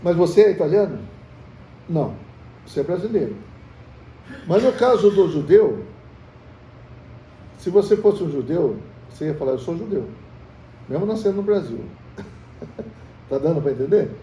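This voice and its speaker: A man's voice: a little high, lively intonation, moderate pace, pauses often, warm tone. Brazilian man teaching in an echoey room. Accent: Brazilian Portuguese. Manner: conversational, quizzes the group with questions; calls the listeners brothers. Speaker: Bible study teacher. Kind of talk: teaching a Bible study. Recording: echoey room, from far off, faint hiss.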